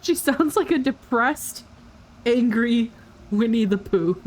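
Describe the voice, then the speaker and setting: Unhurried high-voiced woman streamer. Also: animated. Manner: giggly, teasing, repeats words for effect. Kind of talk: casual live game reaction commentary. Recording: faint noise on livestream. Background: game audio.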